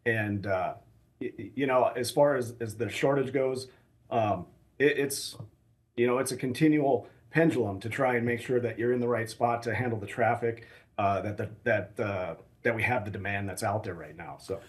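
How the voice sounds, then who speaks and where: An American man speaking as an academic expert in a news interview, explaining things in a measured, hesitant way. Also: medium pitch. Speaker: assistant professor of air traffic management, expert guest. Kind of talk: live news interview answers.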